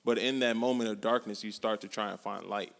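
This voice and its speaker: A man in his twenties co-hosting a podcast, talking casually and reflectively in relaxed slang. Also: fairly low pitch, fairly quick, creaky. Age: 20s. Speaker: podcast co-host. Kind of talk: casual chat. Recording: long hall reverb.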